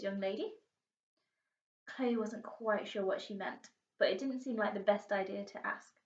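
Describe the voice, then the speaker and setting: A British woman, a primary school teacher, reading a storybook aloud expressively. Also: medium pitch. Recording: muffled, echoey room, distant mic.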